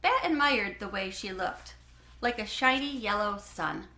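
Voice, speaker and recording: A woman narrating a children's book in an expressive, animated way, with clear phrasing. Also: mid-range voice, a little slow, reverberant, a little hiss or hum. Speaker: read-aloud host.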